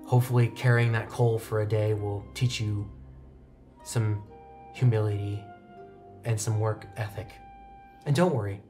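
A man voicing a stern female master blacksmith in a tabletop game, measured and reproachful. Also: on the low side, voice pushed down, lightly acted.

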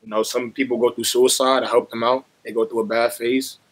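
A young man speaks in a husky, mid-pitched voice over a video call. His talk is casual and slangy, full of short motivational lines. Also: level tone, brisk, low-quality recording. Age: young adult. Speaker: stranger on a video chat, motivational poster. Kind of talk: casual street-slang chat with motivational advice.

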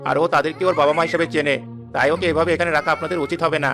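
A man's voice: fairly high pitch, fairly even intonation, rapid-fire, music in the background, lightly acted. A man voicing a respectful, deferential royal advisor in a cartoon.

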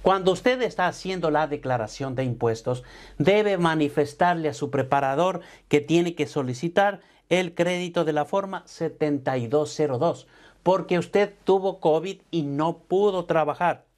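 A middle-aged man, a tax advisor, explaining to camera from an office, speaking deliberately and addressing the viewer directly, slipping English terms into his Spanish. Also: a little high, darkish tone. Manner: slow, emphatic, addresses viewer directly with formal "usted". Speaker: tax advisor and business coach. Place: home studio office with brick wall. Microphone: lapel mic, seated at desk.

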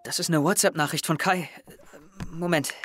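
Hesitant, earnest teenage boy played by a man, higher voice. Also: steady tone, normal speed, music in the background, natural voice. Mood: nervous. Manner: hesitant, subdued. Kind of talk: audio drama acting.

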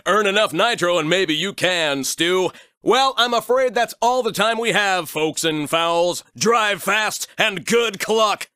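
A polished, pompous rooster commentator for a cartoon racing game. The voice sits high and cuts through brightly, very dry and close. Every phrase is formal, wordy and theatrically announced. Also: male voice, slow pace, clear, resonant tone, no echo, full character voice. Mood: polished showmanship. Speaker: race show co-host. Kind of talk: animated sportscaster announcing.

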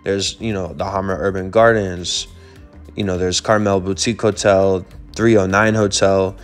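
A man's voice: deep voice, flat delivery, moderate pace, faint music behind. A man hosting a travel vlog, narrating a city walking tour in an informative, easygoing way. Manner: informative, easygoing, with casual fillers.

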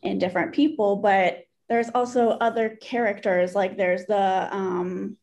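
A woman's voice: medium pitch, steady tone, measured pace, a little mellow. A woman in her thirties co-hosting a casual book discussion over a video call, conversational and sprinkling in "like.". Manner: conversational, frequent "like". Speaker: book club co-host. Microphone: video call computer mic.

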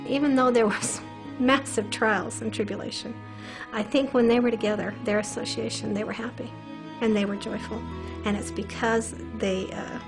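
A woman's voice: a little high, rough recording. A middle-aged woman giving interview commentary on history for a documentary. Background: music.

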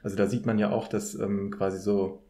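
A man talking casually as a podcast guest, reminiscing with hesitant pauses. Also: fairly low pitch, level tone, warm tone. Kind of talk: casual conversational podcast talk.

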